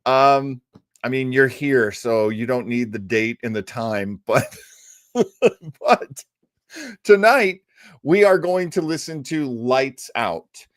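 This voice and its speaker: A man's voice: medium pitch, expressive, slow pace, pauses often, no echo, clean studio sound. An older man hosting an old-time radio show in a casual livestream chat, rambling, effusive and self-deprecating.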